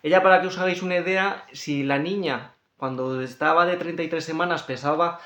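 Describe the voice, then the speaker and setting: This is a man with a European Spanish accent and a fairly high, noticeably creaky voice. He explains things informally, like a coach instructing listeners, running his sentences on and circling back to reminders. The room around him is clearly echoey. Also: a bit bright. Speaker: fitness coach presenting a training guide. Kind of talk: informal explanatory talk to camera.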